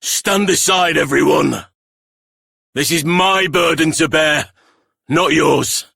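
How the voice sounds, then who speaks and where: A resolute game hero with a heavily gravelled, husky voice. His delivery is commanding and forceful. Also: a little high, takes it slowly, full character voice. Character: resolute, self-sacrificing heroic warrior man.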